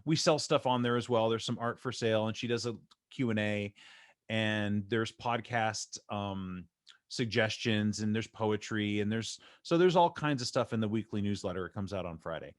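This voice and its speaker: A man's voice: lowish voice, normal speed. A man hosting a podcast in casual chat, loose and joking, often laughing. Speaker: podcast host.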